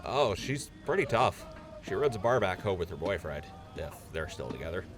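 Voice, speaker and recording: A man's voice: sits low, very animated, normal speed, over music. A man playing a nervous, earnest young infantry soldier in an audio drama, shy and hesitant.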